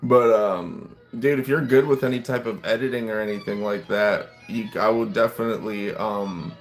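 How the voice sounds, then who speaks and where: A man's voice: fairly low pitch, moderate pace. A man in his late thirties, chatting casually and rambling on a livestream while he waits for food in a restaurant, reacting to what viewers write. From United States. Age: late 30s. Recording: faint classical music behind.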